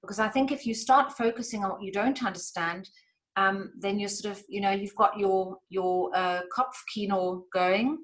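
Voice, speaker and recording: A woman's voice: mid-range voice, moderate pace. Native English-speaking woman coaching casually. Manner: relaxed, many fillers and restarts. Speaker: language coach. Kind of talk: casual podcast chat.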